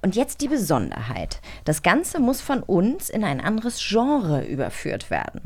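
A woman's voice: mid-range voice, huge pitch swings, unhurried. A woman co-hosting a podcast, speaking in a casual hosting style. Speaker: podcast co-host.

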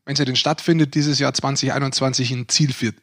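A man's voice: medium pitch, fairly even intonation, brisk, fairly bright tone. A man co-hosting a podcast with casual banter, speaking in a direct, challenging, outspoken way. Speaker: podcast co-host.

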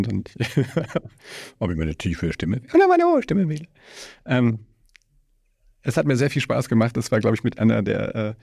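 A man with a low, creaky voice hosts a casual podcast conversation. He circles around his thoughts in rambling phrases. The sound is close and dry. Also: a little mellow, no room sound. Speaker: podcast host interviewing a guest.

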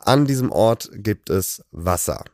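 A man hosting a casual chat, relaxed and hesitant, with many fillers. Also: on the low side, a little slow, no echo, clean studio sound. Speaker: podcast host.

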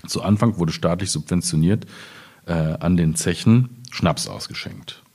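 A man from Germany's Ruhr region, a poet at a literary reading, speaking in a husky, deliberate, measured way. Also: low-pitched, sing-song, darkish tone. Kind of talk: poetry reading with informal commentary.

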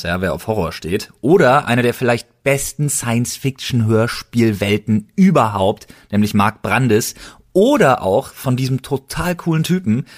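A young man hosting a podcast in a casual, chatty style. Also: mid-range voice. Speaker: podcast host.

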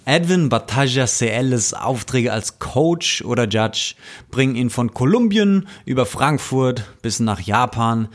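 A man hosts a casual podcast interview in a mid-range voice, chatting easily between his scripted lines. His questions come with plenty of fillers and quick approving replies. A roomy echo hangs around the recording. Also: medium pitch. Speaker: podcast host.

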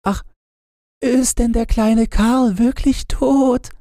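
A man's voice: very high-pitched, fairly even intonation, takes it slowly, mellow, no echo, clean studio sound, voice pushed up, heavily acted. A man voicing a sad, innocent little girl in a fairy tale, with plaintive, childlike questioning. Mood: distressed.

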